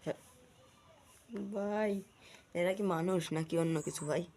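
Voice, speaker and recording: A man's voice: high-pitched, unhurried, a little mellow, a little hiss or hum. A man with a Bangladeshi accent chatting informally to viewers as a video host, with repetitive running commentary. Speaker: prank-call video host. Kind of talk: casual vlog chat to viewers.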